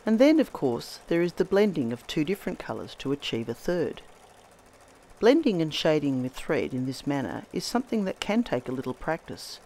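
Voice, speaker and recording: A woman narrates a scripted craft demonstration. Her voice is a little croaky from a recent bout of laryngitis. Her pitch moves with lively, singing rises and falls, and her delivery stays measured and gentle. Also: a little hiss or hum. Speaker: textile artist host.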